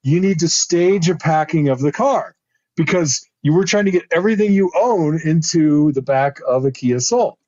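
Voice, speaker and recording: A man's voice: moderate pace, dry recording. Rambling middle-aged man on a lo-fi podcast. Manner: rambling storyteller, laughs often, jumps pitch when excited. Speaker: former pro football lineman, co-host. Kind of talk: casual podcast chat. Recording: slightly dull lo-fi sound.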